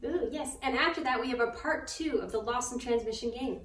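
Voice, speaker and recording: A woman with a fairly high voice co-hosts in a playful, casual way. She is a little distant from the mic in an echoey room with faint noise. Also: fairly quick. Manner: playful, reactive. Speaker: co-host. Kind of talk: casual banter.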